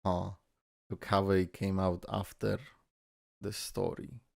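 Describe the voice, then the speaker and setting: Gravelly-voiced young man reacting, joking. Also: low-pitched, level tone, takes it slowly, darkish tone, no echo, studio quality. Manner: loose and joking, swears, laughs often. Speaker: reaction video host. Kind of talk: casual reaction commentary.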